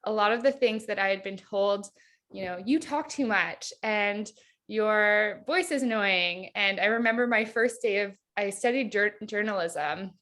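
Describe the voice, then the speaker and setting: A woman's voice: mid-range voice, a little slow, fairly bright tone. Woman in her thirties, hypnotherapist, casual podcast chat, reflective, filler-heavy. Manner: chatty, reflective, heavy use of "like". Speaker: hypnotherapist guest.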